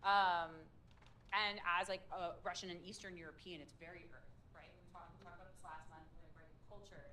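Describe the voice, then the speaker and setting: A woman's voice: fairly low pitch, steady tone, fairly quick, pushed and bright, slight background noise. A woman leading a public speaking workshop, upbeat and chatty with plenty of fillers. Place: meetup room with a group.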